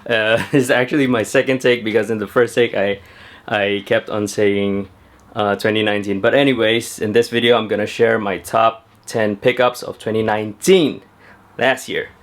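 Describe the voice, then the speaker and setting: A man's voice: fairly low pitch, fairly even intonation, moderate pace. A young man talking casually to camera at home about his sneakers, chatty and laid-back with lots of fillers. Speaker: sneaker vlogger. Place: at home indoors.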